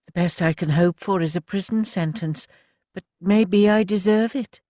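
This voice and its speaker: A troubled young woman, shaky yet resolute, played by a male reader in a raised pitch. Dry, close sound. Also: moderate pace, warm tone, dry recording, lightly acted. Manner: emphatic, shaky.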